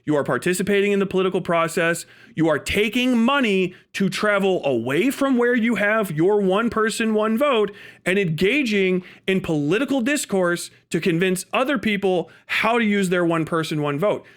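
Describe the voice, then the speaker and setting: A male livestream host with a notably high-pitched voice delivers casual, ranting commentary. He is emphatic and swears freely, building his argument by posing questions and answering them himself. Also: lively intonation, moderate pace, a bit bright.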